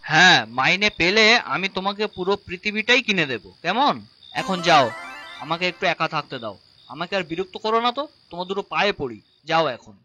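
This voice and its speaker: A man's voice: sits high, lively intonation, fairly quick, pushed and bright, lo-fi sound, soft background music, exaggerated voice. A man playing a burdened, irritable young working son in a cartoon, with a sarcastic edge. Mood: exasperated. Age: young adult. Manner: exasperated, sarcastic. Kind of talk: cartoon voice acting.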